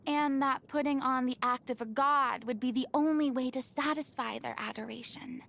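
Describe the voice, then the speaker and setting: A young stage star from a video game, vain and theatrical. Her high voice takes its time over every phrase, with pompous, haughty flourishes. Music plays behind her. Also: vocal fry. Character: theatrical, vain, dramatic young female celebrity performer, secretly lonely. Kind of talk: video game character voice lines.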